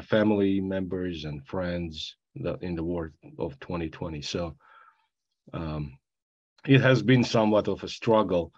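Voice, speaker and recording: A middle-aged man from Armenia giving a panel talk, halting with many fillers and restarts, with dry asides. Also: sits low, darkish tone, muffled recording. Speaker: panel guest speaker.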